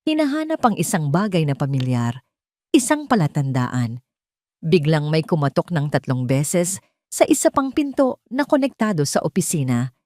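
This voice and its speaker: A woman's voice: sits low, big pitch swings, normal speed, a little mellow, dry recording. Dry, close-sounding woman narrating drama. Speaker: story narrator. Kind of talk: dramatic scripted narration of a love story.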